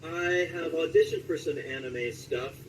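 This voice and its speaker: A man from Southern California talking casually as an interviewed voice actor, telling anecdotes in an animated way and acting out reactions. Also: fairly high pitch, measured pace, recorded from a distance, slight background noise, poor audio.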